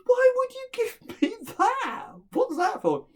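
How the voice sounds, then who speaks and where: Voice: mid-range voice, very animated, moderate pace. A storyteller playing a polite, guileless young man in a folktale, hesitant and rambling. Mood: aggrieved. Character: youngest son, kindly, polite, guileless young male traveller. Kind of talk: live oral folktale telling.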